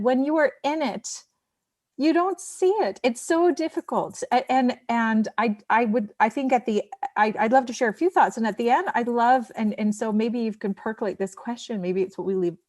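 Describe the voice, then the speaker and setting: A woman's voice: on the high side, dry recording. Woman thinking aloud, close dry sound. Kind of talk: reflective discussion in a panel conversation.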